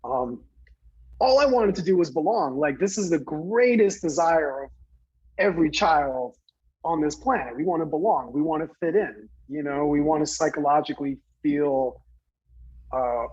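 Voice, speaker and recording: Man, from new jersey, storytelling guest, halting with fillers, breathy, muffled audio. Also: a little high. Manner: earnest, halting, many fillers and restarts. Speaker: guest speaker. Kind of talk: personal storytelling in conversation.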